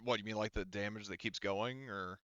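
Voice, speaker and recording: A man with a low, smooth voice acts as game master in casual tabletop talk. He is recorded dry and close, with a slightly dull top end. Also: steady tone, brisk, pushed and bright, dry recording.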